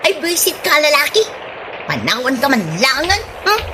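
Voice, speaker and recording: A woman's voice: sits high, very animated, moderate pace, background noise. A woman playing a haughty, fussy returnee from abroad in an Ilocano comedy drama, whiny and disdainful, with an Ilocano accent. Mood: haughty, annoyed.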